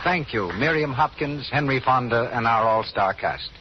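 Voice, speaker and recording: American man, radio announcer, very lively intonation, medium pitch, formal 1930s style, dull hissy recording. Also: moderate pace. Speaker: program announcer.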